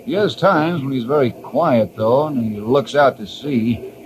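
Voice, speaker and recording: An actor playing a friendly, steady ranger leader in an old time radio drama, explaining things calmly. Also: male voice, medium pitch, a little slow, background noise, close to an everyday voice. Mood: friendly, explanatory.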